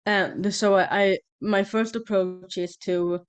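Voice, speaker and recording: A woman from Sweden with a Swedish accent, talking casually to her channel's viewers and often pausing with fillers and restarts. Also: mid-range voice, steady tone, smooth, lo-fi sound. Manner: hesitant, many fillers and restarts. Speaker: channel host. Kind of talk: casual monologue to camera.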